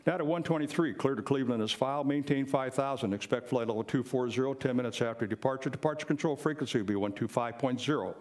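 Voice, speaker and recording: A man's voice: mid-range voice, fast talker, hardly any pauses, a little mellow. An older man speaking plainly and explaining things in an informal presentation to a live audience. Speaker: presenter, retired air traffic controller.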